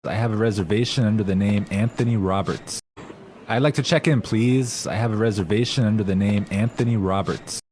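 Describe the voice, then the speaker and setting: A man reading a hotel guest's lines in a scripted English lesson dialogue, speaking clearly and carefully. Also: fairly low pitch, a little fast, darkish tone, slight background noise, natural voice. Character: male hotel guest checking in. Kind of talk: scripted role-play dialogue.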